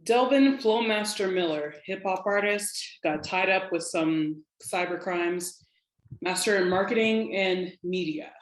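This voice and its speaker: A woman playing a stern, sharp-tongued law enforcement agent who speaks with clipped authority in a drama table read. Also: lowish voice, unhurried, close to an everyday voice. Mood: cool briefing. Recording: roomy, echoing room sound.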